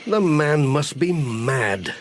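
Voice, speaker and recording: A man plays a friendly village postman from a children's audiobook. He chats and muses to himself with plenty of rise and fall, at an unhurried pace. There is a little noise on the recording. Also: on the high side, expressive, voice pushed up. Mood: exasperated. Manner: cheerful musing, talks to himself. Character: friendly, chatty village postman, muttering to his cat. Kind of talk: children's audiobook character acting.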